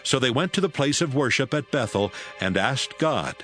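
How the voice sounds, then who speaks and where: A man narrating scripture as a measured, scripted storyteller in an audio Bible. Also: mid-range voice, lively intonation, a bit bright, soft background music.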